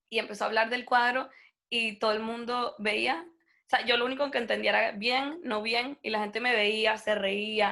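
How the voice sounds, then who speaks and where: Bright, gravelly young woman telling a story. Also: on the high side. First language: Spanish. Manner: animated, quoting people in her story. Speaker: interview guest. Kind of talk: casual storytelling interview.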